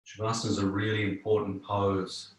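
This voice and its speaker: Male yoga teacher, deep voice, calm guided-relaxation delivery with long pauses, recorded in a very reverberant room. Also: noisy background. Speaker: yoga instructor. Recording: very echoey room.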